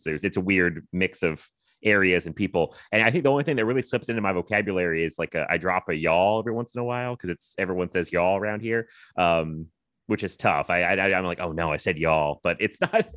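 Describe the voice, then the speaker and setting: A man's voice: low voice, lively intonation, quick pace, fairly bright tone, no room sound. An American man hosting a podcast, chatting casually in a jokey, rambling way. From Virginia, US. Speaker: podcast host. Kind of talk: casual chat.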